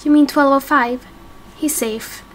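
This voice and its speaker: A woman playing a calm, regretful female captor in a game roleplay, subdued, measured and ominous. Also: sits high, slight background noise. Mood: cold, regretful. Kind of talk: amateur game roleplay acting.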